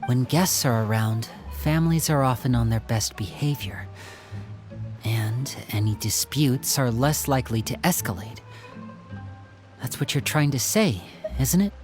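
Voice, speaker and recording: A man plays a young older brother in a video game drama. His voice sits at a middle pitch, with polished, measured diction and an earnest, protective temper. Music plays underneath. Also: lively intonation, natural voice. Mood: guarded, polite. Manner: articulate, measured, courteous.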